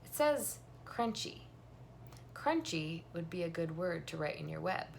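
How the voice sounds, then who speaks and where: A woman voicing a scavenging male rat pitching his ideas in a children's story. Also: very animated, unhurried, gravelly, slight background noise, exaggerated voice. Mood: eager.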